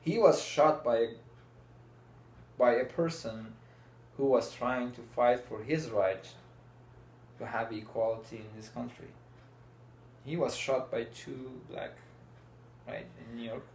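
A man's voice: medium pitch, takes it slowly, pauses often, darkish tone. A man, passionately asking questions in a casual conversation. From Iran. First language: Farsi. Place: small room indoors. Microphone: fixed camera, seated. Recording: roomy with faint background hiss.

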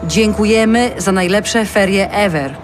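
A woman's voice: mid-range voice, measured pace, noisy background, natural voice. An actress playing a harried, defensive mother of two in a naturalistic audio drama. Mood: firm, coaxing.

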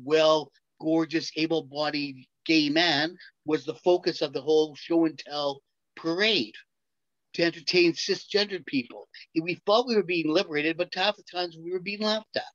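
A man's voice: a little high, steady tone, moderate pace, fairly bright tone, no room sound. Emphatic older man objecting on a dull call. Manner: argumentative, emphatic. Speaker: seniors group participant. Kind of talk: group discussion on video call. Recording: dull, dry video call audio.

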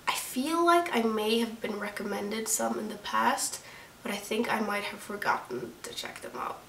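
A woman's voice: mid-range voice, bright, forceful tone. A woman in her twenties from Norway with a Norwegian accent, talking steadily and in a friendly way to the camera in a book vlog from a room with candles and plants. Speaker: book vlogger. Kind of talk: scripted chat to camera. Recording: roomy echo, voice a little distant.

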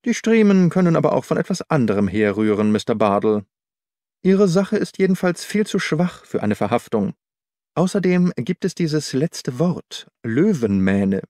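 A man voicing a self-assured, secretive detective in an audiobook, reasoning in a measured way. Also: a little high, big pitch swings, darkish tone, dry recording, clean studio sound. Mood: analytical. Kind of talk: audiobook character dialogue.

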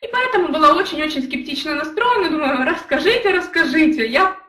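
Brief: young woman, high bright voice, earnest storyteller, roomy echo, a bit off-mic. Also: lively intonation, fairly quick, distant mic. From Ukraine. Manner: earnest, storytelling, addresses chat viewers directly. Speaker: network marketing leader. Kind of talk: motivational live stream talk.

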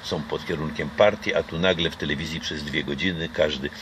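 Deep-voiced older man, hesitant and reflective. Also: fairly even intonation, brisk, background noise. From Poland. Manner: reflective, hesitant with frequent fillers and restarts. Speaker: interviewee. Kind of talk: casual conversation. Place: outdoor garden patio. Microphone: camera close by.

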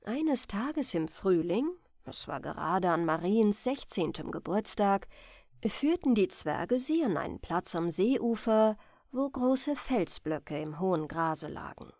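Expressive, unhurried woman storyteller. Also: medium pitch, no echo, clean studio sound. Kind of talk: bedtime fairy-tale audiobook narration.

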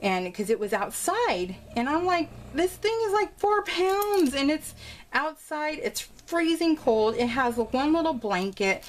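A woman's voice: high-pitched, lively intonation, a little slow. A woman chatting casually and informally as she narrates a craft video, telling stories in a rambling way. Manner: rambling, informal storytelling with exclamations. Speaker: craft vlogger showing a scrapbook layout. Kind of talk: casual, chatty real-time craft voice-over. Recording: clear background noise.

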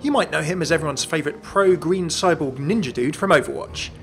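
A man's voice: on the high side, lively intonation, brisk, fairly bright tone, soft background music. A young man hosting and narrating a scripted fan video in a chatty, jokey way.

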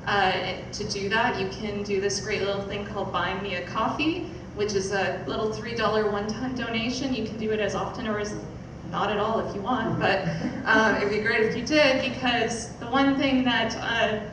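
A woman's voice: mid-range voice, steady tone, moderate pace, hardly any pauses. A young woman giving an informal speech as host, casual, hesitant and a little self-deprecating. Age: young adult. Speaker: podcast producer hosting launch event. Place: small theatre stage, indoors. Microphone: stand mic, picked up by distant camera. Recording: very echoey, noisy hall from far off.

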